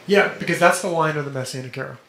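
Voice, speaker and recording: A fairly high-voiced man leads a study group, a little hoarse, pausing to ask if things make sense as he works through quoted passages. The room is echoey with a faint hum of background noise. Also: sharp tone. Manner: explanatory, quotes texts, checks in with "right?". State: slightly hoarse, coughing. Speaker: teacher. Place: indoor room with a vent.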